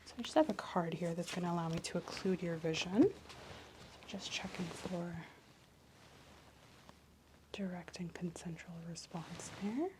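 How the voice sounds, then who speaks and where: A woman soft-speaking an ASMR medical exam role-play as the examiner, explaining in a clinical way and often slipping into a whisper. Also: fairly low pitch, a little slow, long pauses, noisy background.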